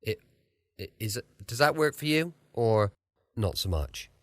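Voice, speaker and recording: Casting: chatty British male host for a podcast intro, mid-pitched, highly animated, jokey and informal. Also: unhurried, no room sound. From southwest of the United Kingdom.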